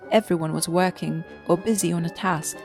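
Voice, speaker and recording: A woman's voice: fairly low pitch, fairly even intonation, normal speed, music in the background. A woman reading scripted storybook narration as the narrator of an audio drama.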